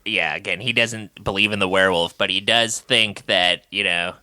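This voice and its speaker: A man's voice: sits low, steady tone, normal speed, pushed and bright, no echo. A man co-hosting a movie podcast, chatting casually and jokingly, laughing a lot as he recaps scenes. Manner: jokey, laughs often, quotes scenes in silly voices. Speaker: podcast co-host. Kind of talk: casual movie review chat.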